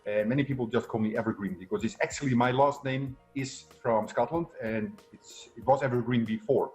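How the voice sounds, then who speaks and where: A man's voice: mid-range voice, a little fast, faint music behind. A middle-aged man from the Netherlands with a Dutch accent, talking conversationally as an upbeat coach being interviewed. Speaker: guest, success coach and entrepreneur.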